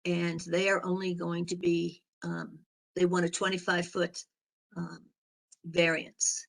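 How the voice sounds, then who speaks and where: Hoarse, raspy woman chairing a meeting. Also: on the low side, flat delivery. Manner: halting, with many fillers. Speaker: board chair.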